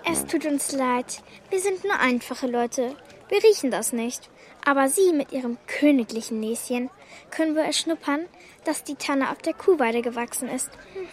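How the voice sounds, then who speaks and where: An actress playing a lively, cheeky orphan girl in a children's radio play, eager, bold and insistent. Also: high voice, normal speed, lightly acted. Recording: studio radio play with music.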